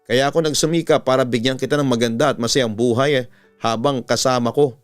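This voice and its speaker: A man's voice: medium pitch, steady tone, a little fast, close to an everyday voice. A man with a Filipino accent voicing an earnest young lover pleading with his lost love in a dramatized story. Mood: earnest, tender. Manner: pleading, emphatic. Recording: music bed under the voice.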